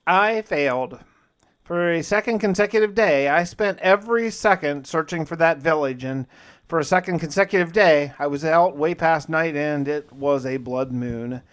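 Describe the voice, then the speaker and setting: Male gaming host, slightly high register. Casual first-person storytelling with folksy, conversational asides. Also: moderate pace, a bit bright, smooth. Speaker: gaming video host and player.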